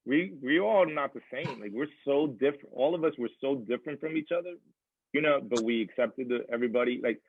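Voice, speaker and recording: A middle-aged man reminiscing casually, halting and repeating himself with many false starts. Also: medium pitch, breathy edge, dry recording. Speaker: former college athlete. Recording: muffled, dry, narrow-band audio.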